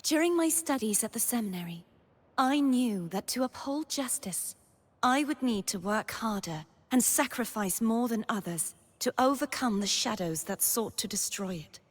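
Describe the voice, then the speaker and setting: A woman's voice: fairly high pitch, lively intonation, moderate pace, long reverb tail. An actress playing a noble, solemn young woman knight in a video game, with formal, dramatic delivery. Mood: earnest, solemn. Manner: formal, dramatic, archaic phrasing. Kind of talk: scripted video game character voice lines.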